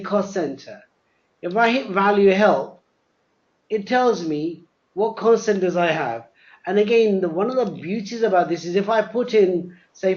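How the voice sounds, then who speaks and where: A man presenting a live software demo, explaining step by step, often repeating words and spelling things out. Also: sits high. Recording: dull, lo-fi webinar audio.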